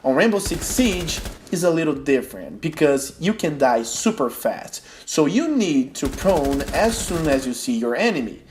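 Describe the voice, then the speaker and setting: A man's voice: fairly high pitch, big pitch swings, normal speed, hardly any pauses, a little mellow, slight background noise. Brazilian man explaining a game tutorial. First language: Portuguese. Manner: explains tips step by step in careful English. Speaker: gaming tutorial host. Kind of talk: tutorial voice-over.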